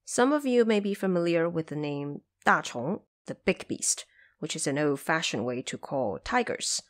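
Woman, low-pitched voice, expressive swings in pitch, scripted explanatory narration, careful enunciation. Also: moderate pace. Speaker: host and translator.

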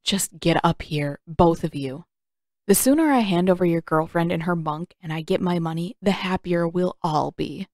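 A woman's voice: on the low side, moderate pace, a little mellow, no echo, natural voice. Brash, sardonic bounty hunter woman, acted by a woman. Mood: mocking. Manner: mocking, flippant. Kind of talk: audiobook character voice.

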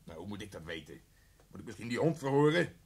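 An actor playing an irritable, sarcastic police officer in a radio drama. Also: male voice, on the low side, sing-song, fast, a little mellow, husky, exaggerated voice. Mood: sarcastic, exasperated. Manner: sarcastic, grumbling. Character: irritable, exasperated gruff male police officer. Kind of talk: Dutch radio drama acting.

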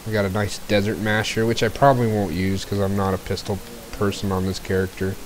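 A low-pitched man chatting through a video game stream from his home setup. He sounds a little scratchy as he gets over a cold, rambling easily from item to item with fillers and the occasional throat clear. A cheap desk mic carries his voice, with a faint hiss behind it. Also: level tone, a little fast. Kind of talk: casual gameplay commentary.

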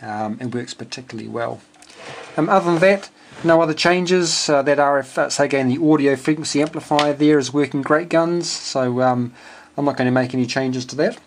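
Kiwi guy doing a casual hobby electronics walkthrough, bit halting, average pitch, noisy home video sound. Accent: New Zealand. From New Zealand. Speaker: amateur radio hobbyist presenting his homebuilt receiver. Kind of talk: casual technical walkthrough, unscripted. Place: indoors at a home workbench.

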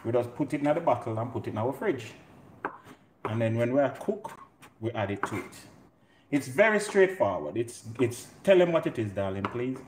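A middle-aged man with a Jamaican accent talks through a recipe as he cooks, in a playful, conversational way. His mid-pitched voice echoes around a kitchen with some faint clatter behind it. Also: animated, moderate pace. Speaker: home cook and show host. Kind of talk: casual live cooking demonstration. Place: indoor home kitchen. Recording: roomy kitchen, clear noise, pot clatter.

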